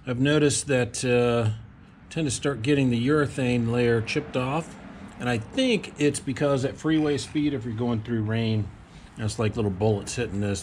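A middle-aged man casually narrating boat maintenance in his garage and woodshop, relaxed and thinking aloud with a few pauses, picked up by a camera mic a little way off. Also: medium pitch, a little hiss or hum. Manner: relaxed, explains as he works, some hesitations. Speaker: boat builder and vlogger.

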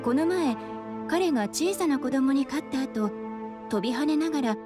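Young female shopkeeper, anime game: high voice, polite but frank, music behind. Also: animated, moderate pace. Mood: matter-of-fact. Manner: polite, matter-of-fact.

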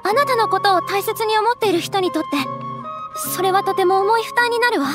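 An actress voicing a gentle, caring young noblewoman in a game, speaking in a soft, polite, refined way. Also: very high-pitched, a little fast, music in the background. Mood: concerned.

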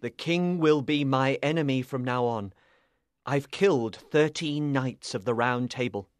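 A man's voice: on the high side, unhurried, dry recording, lightly acted. A brave, proud knight of medieval legend, played by a man in a storybook reading. He is firm and earnest, devoted to his lady. The recording is very dry. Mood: sad, earnest.

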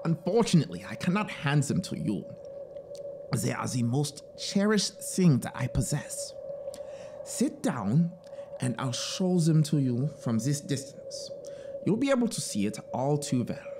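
A man's voice: medium pitch, sing-song, unhurried, noisy background, voice pushed up. A man voicing a zealous, proud penal colony officer in an audiobook, emphatic, fervent and commanding. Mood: fervent, reverent.

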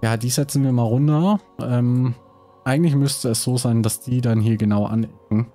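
A man's voice: medium pitch, moderate pace, dark tone, faint music behind. Man with a cold, thinking aloud. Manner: thinking aloud, many hesitations. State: has a cold. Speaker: let's play host. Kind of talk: casual gameplay commentary.

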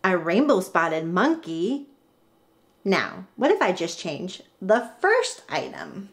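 Woman voicing an eager, animated inventive girl. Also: lowish voice, slow, heavily acted. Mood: curious, excited. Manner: exclamatory, emphatic, thinking aloud. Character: eager, inventive young girl, dramatic and determined.

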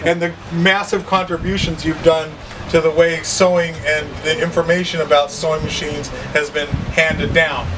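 A man's voice: fairly high pitch, flat delivery, normal speed. A middle-aged man hosting a casual walking tour of a workshop, introducing people with showman-like enthusiasm. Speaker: vlog host touring a shop. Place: indoor workshop and warehouse. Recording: distant voice with room noise.

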